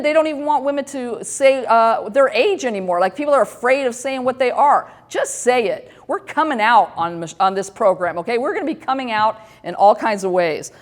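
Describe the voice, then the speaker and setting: A woman's voice: fairly high pitch, expressive, normal speed, few pauses. A woman in her fifties hosting a talk show, talking candidly and joking at her own expense, with many fillers and restarts. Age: 50s. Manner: candid, self-deprecating, rambling with many fillers. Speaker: host. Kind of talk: casual talk show monologue.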